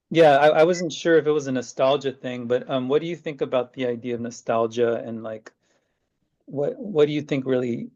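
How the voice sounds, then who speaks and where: A man's voice: mid-range voice, gravelly, darkish tone. A man interviewing for an oral history, asking questions in a hesitant, searching way. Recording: dull lo-fi sound.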